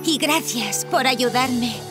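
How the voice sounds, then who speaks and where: A woman's voice: on the high side, slow, loud music behind the voice. A woman voicing a breezy, friendly young mermaid in a cartoon, carefree and exclamatory. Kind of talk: animated cartoon dubbing.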